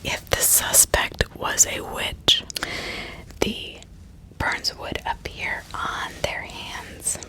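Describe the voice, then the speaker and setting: A woman narrating true crime as an ASMR host in a breathy whisper, pausing often mid-sentence and adding small asides to the listener. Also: sharp tone, rough recording.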